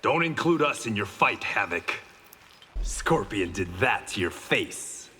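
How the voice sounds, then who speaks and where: A resolute swordsman hero from a fighting game. His voice is bright and forceful with lively intonation, dry and confident, in a long reverb. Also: lowish voice, slow, full character voice. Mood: defiant. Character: Kenshi, resolute, wry blind swordsman. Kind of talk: fighting game character banter. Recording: long reverb, faint background noise.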